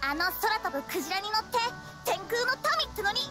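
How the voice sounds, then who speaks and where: Very high, spirited little girl. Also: lively intonation, quick pace, music in the background. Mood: confident, teasing. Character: bossy, spirited little mage girl in a fantasy game. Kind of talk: animated game cutscene acting.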